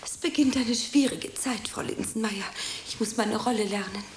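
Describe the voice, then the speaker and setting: A woman's voice: on the high side, moderate pace, background noise, natural voice. A woman playing a dreamy, self-dramatizing young aspiring actress in a radio play, theatrical and whimsical in her delivery. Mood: earnest, evasive. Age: young adult.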